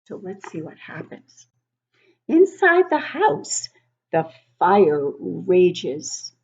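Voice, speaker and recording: A woman reads a children's picture book at an unhurried pace, her pitch moving in lively swings. She stops to explain things simply for young listeners. The audio is somewhat dull and lo-fi. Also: slightly rough. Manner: animated, explanatory, child-directed. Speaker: read-aloud book club host for young children.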